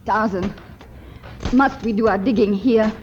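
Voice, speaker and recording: A woman's voice: mid-range voice, unhurried, darkish tone, close to an everyday voice. An actress playing a spirited young woman archaeologist with dramatic phrasing in 1950s radio adventure style. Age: young adult. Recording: muffled, noisy 1950s radio recording.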